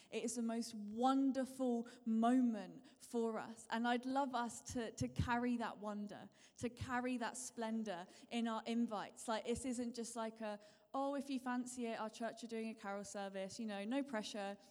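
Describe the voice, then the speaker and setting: A woman's voice: on the high side, fairly even intonation, moderate pace, a little mellow. A woman preaching a sermon in a conversational way, often asking the congregation questions. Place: church hall. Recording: long hall reverb.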